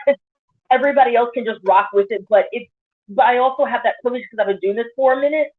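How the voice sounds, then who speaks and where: A woman pole dance instructor telling stories on a panel, animated with quick asides, heard over a video call. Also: fairly high pitch, breathy edge. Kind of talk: casual panel discussion. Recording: tinny phone-like video call audio.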